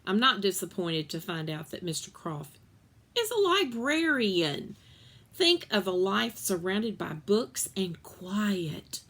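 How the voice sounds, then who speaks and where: Female storyteller, mid-range voice, for expressive read-aloud of children's fiction. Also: mid-range voice, takes it slowly. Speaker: reader of a children's novel.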